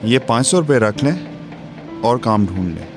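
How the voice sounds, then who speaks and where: A man's voice: medium pitch, sing-song, unhurried. A man playing a curt, wealthy factory owner in a radio drama, formal and businesslike. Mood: dismissive. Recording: music behind the voice.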